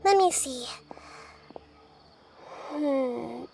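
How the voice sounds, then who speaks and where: Slow, high-voiced wise child goddess. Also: female voice, expressive, dark tone, slight background noise, heavily acted. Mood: curious. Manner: measured, earnest, careful wording. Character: gentle, thoughtful little girl deity, wise and caring. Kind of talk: video game character acting. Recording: clear music under the voice. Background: music.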